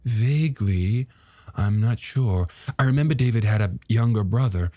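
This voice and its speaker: A man voicing a polite, warm and slightly shy married woman in an audiobook, gently conversational. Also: on the low side, normal speed, dry recording, pitched above the performer's own voice, natural voice. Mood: fond, amused. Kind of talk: audiobook character dialogue.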